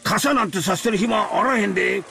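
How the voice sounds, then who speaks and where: A man's voice: sits high, very fast, creaky, sharp tone, faint music behind, heavily acted. An actor playing a jovial middle-aged man with a Kansai accent, talking in a hearty, joking way. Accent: Kansai Japanese.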